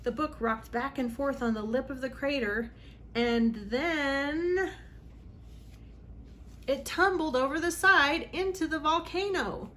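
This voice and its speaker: High-voiced woman reading a children's story. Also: slow pace. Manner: dramatic, emphatic on exciting moments. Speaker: read-aloud host for children.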